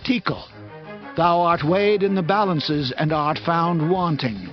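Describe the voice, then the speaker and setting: A man's voice: on the high side, a little slow, close to an everyday voice. A man playing a grave prophet who rebukes a proud king in a solemn, declamatory way, in a dramatized scripture reading. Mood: stern, accusing. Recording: dull lo-fi audio with music.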